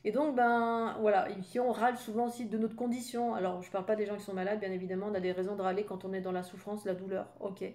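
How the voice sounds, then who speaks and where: A woman's voice: medium pitch, steady tone, quick pace, few pauses, darkish tone. A French woman in her fifties, a spiritual coach talking casually in a rambling, chatty way with many fillers and asides. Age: 50s. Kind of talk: casual spoken monologue.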